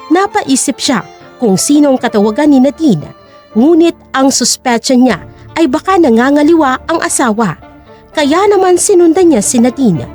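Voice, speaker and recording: A woman's voice: sits high, darkish tone. A woman narrating an audio drama in a dramatic scripted storytelling style.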